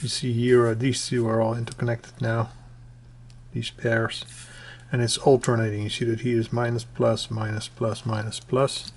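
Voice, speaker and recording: A man with a mid-pitched, very even voice talks through a hands-on tutorial. He goes step by step, haltingly, often restating an instruction. The home recording has clear background noise with clicks. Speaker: presenter of a do-it-yourself electronics tutorial. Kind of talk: informal hands-on tutorial explanation.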